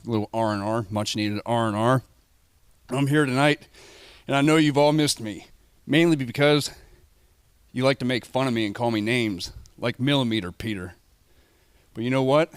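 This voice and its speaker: Cocky wrestler with a smooth voice. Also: male voice, mid-range voice, normal speed, pauses often, no echo, clean studio sound. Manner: cocky, trash-talking. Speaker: wrestler cutting a promo.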